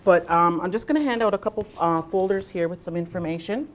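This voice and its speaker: Low-voiced woman presenting without a mic. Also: fast, slight background noise. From Southwestern Ontario, Canada. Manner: loud voice, unamplified, frequent ums and uhs. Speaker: workshop facilitator, nurse navigator. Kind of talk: presentation to a workshop audience. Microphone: no microphone, speaking to a room.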